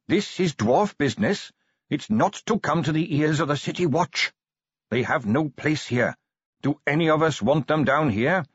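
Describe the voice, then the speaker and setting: A man's voice: on the high side, slightly rough, dry recording, lo-fi sound, voice pushed up, heavily acted. A man voicing a hostile, conspiratorial dwarf in an audiobook, insistent and contemptuous. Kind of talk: audiobook character voice.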